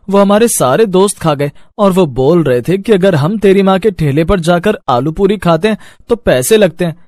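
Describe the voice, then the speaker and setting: A man's voice: high voice, a little mellow, no room sound, clean studio sound. A man voicing an innocent, earnest young schoolboy talking to his mother in a story.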